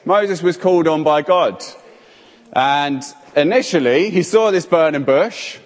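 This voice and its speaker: A man preaching an interactive sermon on a handheld mic, playful and calling people up by name. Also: fairly high pitch. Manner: playful, animated, calls on people. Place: church hall. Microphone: handheld microphone. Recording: hall reverb.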